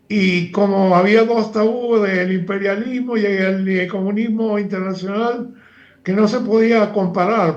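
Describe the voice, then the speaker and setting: An older man from Argentina, a former professor speaking as a guest analyst in a halting, lecture-like way with many fillers and restarts. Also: high voice, roomy sound, highs cut off. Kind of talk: lecture-like interview talk.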